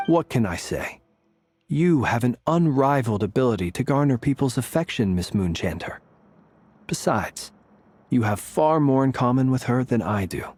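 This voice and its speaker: A courteous, wry schemer, played by a man, choosing his words with formal care. His voice sits in a plain middle register. Soft game music runs behind him. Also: mid-range voice, expressive, moderate pace, no room sound, natural voice. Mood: suave. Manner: formal and smoothly polite. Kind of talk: video game dialogue.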